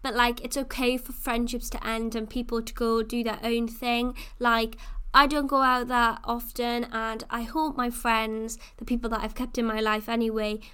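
A woman's voice: on the high side, level tone, normal speed, a bit bright, clean tone. A woman, hosting a casual solo podcast and chatting freely, with plenty of "like" and "you know.". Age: 20s. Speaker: podcast host.

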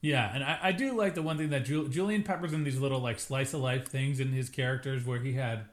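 A young man co-hosting a podcast, chatting casually and jokingly about a TV episode. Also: mid-range voice. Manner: chatty, jokey, quick asides. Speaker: podcast co-host. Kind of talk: casual TV recap chat.

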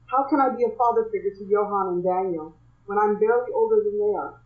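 A woman's voice: medium pitch, quick pace, distant mic, natural voice. A woman voicing a shy, nervous young man who repairs shoes, speaking hesitantly and sometimes stammering. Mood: bitter. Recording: muffled, echoey room.

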